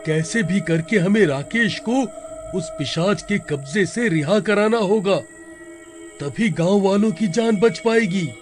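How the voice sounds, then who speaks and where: A man's voice: high-pitched, unhurried, soft background music. A man playing an alarmed, earnest village man in a horror-comedy story, speaking anxiously. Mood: worried.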